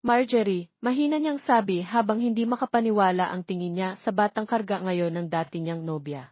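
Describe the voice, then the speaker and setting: A woman's voice: medium pitch, fairly quick, no echo. A woman narrating a dramatic story from a script as a voice-over. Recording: dull lo-fi audio, very dry.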